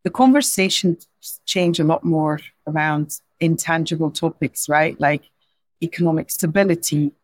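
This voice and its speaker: A woman's voice: sits low, moderate pace, no echo. A woman in her forties from Belgium with a Belgian accent, not a native English speaker, answering interview questions in a thoughtful way, often restarting and adding "right?". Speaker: podcast guest, insurance executive.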